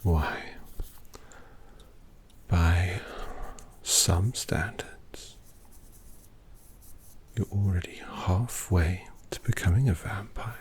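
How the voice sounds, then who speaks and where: Slow, whispering vampire painter, acted out for ASMR. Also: deep voice, darkish tone. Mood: hushed, confiding. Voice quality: whispery, breathy. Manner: slow, deliberate, indulgent, menacingly tender. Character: suave, possessive vampire painter doting on a captive. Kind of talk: ASMR roleplay. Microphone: close-up microphone.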